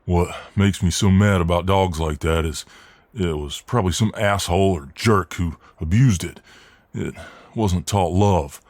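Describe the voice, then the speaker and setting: A man's voice: deep voice, a little slow, darkish tone, no room sound. A man acting out a friendly, protective, cocky Southern US mailman in an ASMR role-play, with a folksy, soothing drawl. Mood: reassuring, concerned.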